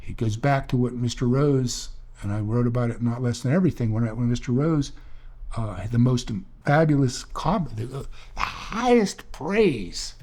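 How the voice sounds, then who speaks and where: A man's voice: mid-range voice, lively intonation, moderate pace, a little mellow. An older man, an author, telling rambling, earnest stories in a reflective talk, often restarting his sentences. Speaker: author and speaker.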